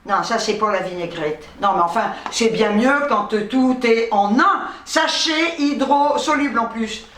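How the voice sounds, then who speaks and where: A woman vlogger from North Africa with a high-pitched voice, chatting casually. She rambles in a playful, self-mocking way with salty language. The recording is echoey, with the microphone a bit away from her. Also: unhurried, fairly bright tone. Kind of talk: casual chatty grocery haul. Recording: roomy, distant microphone.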